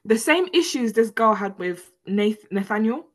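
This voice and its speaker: A woman's voice: fairly high pitch, animated, moderate pace. A woman co-hosting a podcast in casual, emphatic chat, repeating words to stress her point. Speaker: podcast co-host. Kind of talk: casual chat, reality TV recap.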